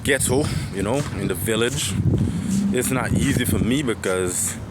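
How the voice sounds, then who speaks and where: Young Antiguan man, deep low voice, rambling monologue, loud street noise behind. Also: unhurried. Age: 20s. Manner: rambling, repeats phrases, swears, reflective. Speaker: vlogger. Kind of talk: casual personal monologue.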